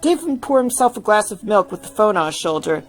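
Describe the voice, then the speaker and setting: A woman's voice: mid-range voice, big pitch swings, a little fast, soft background music. A woman narrating a piece of fiction from a script, as a story reader.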